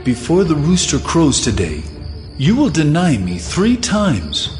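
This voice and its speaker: A man playing a solemn, authoritative holy teacher in a scripture audio drama, speaking deliberately and emphatically. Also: fairly high pitch, wildly swooping pitch, over music.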